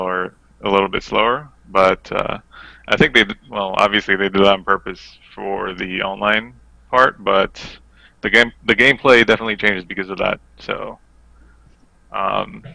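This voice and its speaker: A man's voice: low-pitched, creaky, sharp tone, no echo, poor audio. A man giving his opinions casually as a guest on a gaming podcast. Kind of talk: casual chat.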